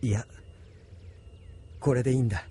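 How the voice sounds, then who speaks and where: A man playing an earnest, resolute young space pilot in a Japanese anime audio drama, speaking gravely and sincerely. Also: medium pitch, steady tone, moderate pace, warm tone. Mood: quiet, doubting. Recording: studio drama with background music.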